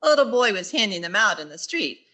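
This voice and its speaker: Woman playing a bright, wry schoolteacher. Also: mid-range voice, sing-song. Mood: coaxing. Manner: wry, theatrical, quick with wisecracks. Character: wry, flustered female high school English teacher. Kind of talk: 1940s radio sitcom acting on stage.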